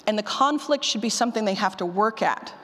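A woman speaks to a conference audience in a room with long, ringing reverb. Her delivery is informal and animated, with punchy emphasis and slangy phrasing. She sounds like a workshop leader who likes to put questions straight to the room. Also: medium pitch, brisk. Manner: animated, colloquial, emphatic, mildly sweary, puts questions to the room. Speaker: speaker leading a workshop on audio drama writing.

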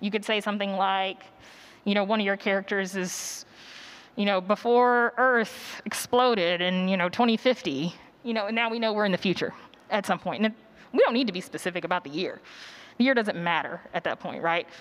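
A woman's voice: mid-range voice, fairly quick, rough recording. A woman teaching a workshop in a conversational, encouraging way and taking questions from people in a conference room. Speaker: workshop presenter. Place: conference session room with an audience.

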